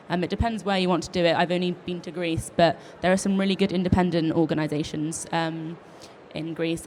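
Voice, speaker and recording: A woman's voice: on the low side, a little mellow. A woman panelist giving practical advice in an informal, spontaneous way. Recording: faint live event noise.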